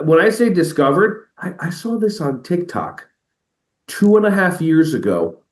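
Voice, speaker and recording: A man speaking as an educator and motivational speaker in a podcast interview, energetic and playful, often slipping into quoted voices. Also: fairly high pitch, reverberant. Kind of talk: animated conversational interview.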